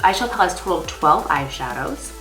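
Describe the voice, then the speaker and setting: Low-pitched young woman with a stuffy cold. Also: very animated, quick pace, music in the background. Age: young adult. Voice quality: slightly stuffy, congested. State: has a cold. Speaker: makeup artist and beauty vlogger. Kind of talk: casual makeup tutorial chat. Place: small indoor room. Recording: roomy echo.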